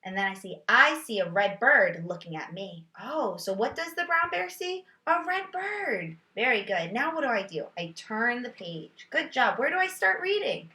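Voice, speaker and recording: Female teacher, fairly high-pitched and bright, giving parents reading tips with expressive child-directed delivery, recorded in an echoey room. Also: normal speed, hardly any pauses. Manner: expressive, sing-song storybook reading and child-directed questioning. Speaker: teacher and host demonstrating read-aloud. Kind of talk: read-aloud lesson with reading tips for parents. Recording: roomy echo.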